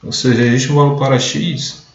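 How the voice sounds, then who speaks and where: A man's voice: mid-range voice, flat delivery, normal speed. A man teaching a logic class in a live online lesson, explaining step by step and often starting with "então". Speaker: math teacher. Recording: echoey room, dull lo-fi sound.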